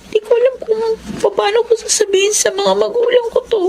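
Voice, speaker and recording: A woman's voice: very high-pitched, fairly even intonation, a little fast, a little mellow. A woman playing an anxious, guilty young woman, pleading and apologetic in a Filipino radio drama. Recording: clear background noise and ambience.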